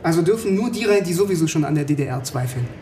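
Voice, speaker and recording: An actor playing a bookish young East German philosophy student in a radio drama, narrating in a wry, literate way. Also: male voice, fairly high pitch, animated, fast, dark tone, slight background noise, lightly acted. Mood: curious, probing. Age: young adult. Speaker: narrator and lead.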